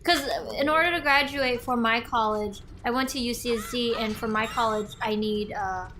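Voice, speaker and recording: A woman's voice: on the high side, moderate pace, noisy background. A young woman co-hosting a gaming show, telling a long story in a casual, meandering way with many restarts. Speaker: co-host of a gaming channel. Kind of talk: casual chat over gameplay.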